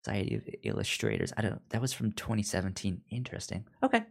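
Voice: sits low, wildly swooping pitch, fast talker, gravelly. A podcast co-host casually discussing books in a hesitant, stammering way.

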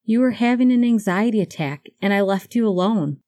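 A woman's voice: mid-range voice, expressive, brisk, warm tone, dry recording, clean studio sound, voice pushed up, close to an everyday voice. A woman voicing an anxious, tender, apologetic man in love, speaking in an earnest, emphatic way for a fan fiction audiobook. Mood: apologetic, rushed.

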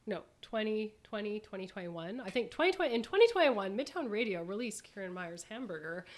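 A woman hosting a conversational panel interview, speaking haltingly and circling back to rephrase her questions. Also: mid-range voice, sing-song. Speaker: host.

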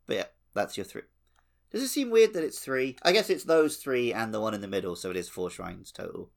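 A man's voice: on the low side, animated, fairly quick, vocal fry. A man co-hosting a podcast, chatting casually about a video game playthrough. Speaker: podcast co-host.

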